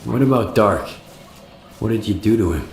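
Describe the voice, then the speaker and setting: An actor playing a guarded, determined wanderer hero in a video game, blunt and questioning. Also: male voice, lowish voice, expressive, normal speed, gravelly, roomy sound, slight background noise. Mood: confrontational. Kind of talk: video game cutscene acting.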